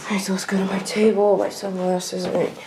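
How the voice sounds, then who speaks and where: A woman's voice: mid-range voice, flat delivery, a little fast, noisy background. A woman giving a casual, unscripted show-and-tell in a plain, matter-of-fact way, recorded indoors at home. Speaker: video creator showing a radio collection.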